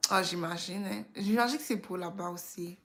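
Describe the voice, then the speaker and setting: A woman with a Québécois French accent, chatting casually and reflectively as a livestream host. She is informal and unhurried, thinking aloud as she goes. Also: fairly low pitch, a bit bright. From Quebec, Canada.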